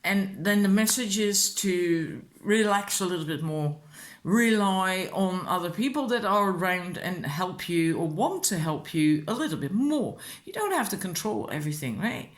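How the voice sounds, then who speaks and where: A woman's voice: fairly low pitch, expressive, moderate pace. A woman with a Dutch accent, a native Dutch speaker, giving informal, encouraging spiritual advice as a card reader in a rambling, casual way. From Netherlands.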